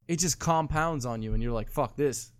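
A man's voice: mid-range voice, moderate pace, no room sound. A man in his twenties arguing bluntly and profanely in casual banter with friends. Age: 20s.

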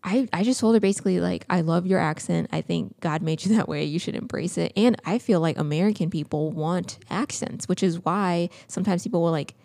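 Podcast co-host, young woman, low gravelly voice, mellow tone, chatty and earnest. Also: a little fast, hardly any pauses, no room sound, clean studio sound. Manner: chatty, earnest, animated storytelling. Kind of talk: casual podcast chat.

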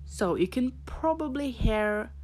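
A young woman from Norway with a Norwegian accent, hosting a casual podcast, rambling in a halting way with fillers and soft laughs. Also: fairly high pitch, lively intonation, slight background noise. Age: teens or young adult. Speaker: podcast host.

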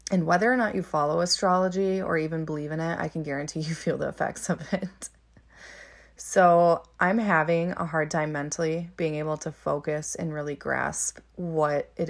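A woman with a slightly raspy voice, chatting casually and candidly as a podcast host. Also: deep voice. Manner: candid, informal.

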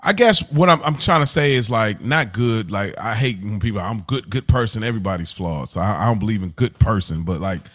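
Podcast host, American man, lowish voice, dry close sound, colloquial and thinking out loud. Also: fairly quick, few pauses, no room sound. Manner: colloquial, thinking aloud, checking in with listeners. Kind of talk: casual TV show discussion.

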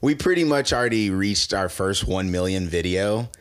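Young dude hosting a podcast, pitch jumping all over, laughs a lot, slangy. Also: male voice, animated, normal speed, clear, resonant tone. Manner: laughs often, loose slangy banter. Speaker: podcast host. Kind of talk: casual chat.

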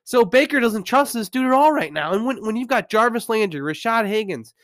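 A man with a slightly high voice and a stuffy nose from a cold rants through a football recap. He stumbles over his words and restarts often, but he never lets go of his opinions. His voice is close and dry, with no room sound around it. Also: animated, a little fast, a bit bright, dry recording. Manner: opinionated, rambling, frequent restarts. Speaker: sports commentator. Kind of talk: ranting sports recap.

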